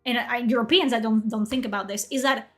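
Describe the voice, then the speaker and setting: Young woman from Spain, native Spanish speaker, loose chatty streamer, slightly high voice, faint music bed. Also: fairly even intonation, a little fast, fairly bright tone. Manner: chatty, rambling, reads chat aloud. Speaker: livestream host. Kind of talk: casual livestream chat.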